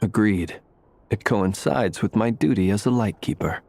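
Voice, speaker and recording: Low-voiced, courteous lightkeeper. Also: male voice, normal speed, gravelly, a little mellow, slight background noise. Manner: formal, polite. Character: courteous, solemn male lightkeeper gentleman.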